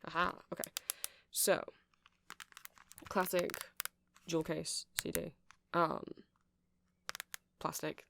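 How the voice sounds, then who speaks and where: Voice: mid-range voice, little pitch movement, takes it slowly, vocal fry, pauses often, sharp tone, slight background noise, heavily acted. A performer in an ASMR roleplay playing a nerdy, awkward, friendly male vampire music-shop seller who rambles hesitantly and apologetically to the listener. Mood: chatty, explanatory.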